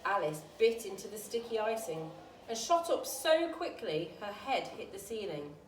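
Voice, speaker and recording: A woman reading a children's bedtime story aloud in an expressive, dramatic storytelling style. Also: medium pitch, moderate pace. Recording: roomy, distant mic with faint noise.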